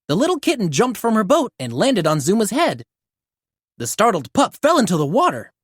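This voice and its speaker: A woman narrating a children's storybook aloud in an animated read-aloud style. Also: mid-range voice, normal speed, slightly rough, no room sound, clean studio sound. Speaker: storybook reader.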